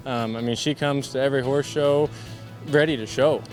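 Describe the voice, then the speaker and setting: A man's voice: medium pitch, steady tone, moderate pace, darkish tone, soft background music. A man, a professional horse rider, speaking earnestly and enthusiastically in folksy speech.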